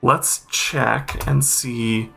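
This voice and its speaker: A man's voice: medium pitch, steady tone, drawn-out words, gravelly, pushed and bright, soft background music. A man hosting a game accessibility review, explaining things informally and thinking aloud as he goes. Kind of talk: informal spoken walkthrough and review commentary.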